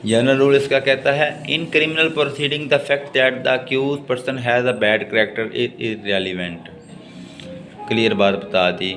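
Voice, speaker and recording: A man with a Pakistani accent giving a law lecture, reading out legal text and explaining it in a deliberate, halting way. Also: medium pitch, steady tone, a bit bright, soft background music.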